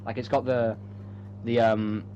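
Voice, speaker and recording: A man's voice: medium pitch, little pitch movement, unhurried, warm tone, lo-fi sound. A man chatting casually and unscripted, rambling enthusiastically with some swearing. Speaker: co-host. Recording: clearly noisy background, home-made recording.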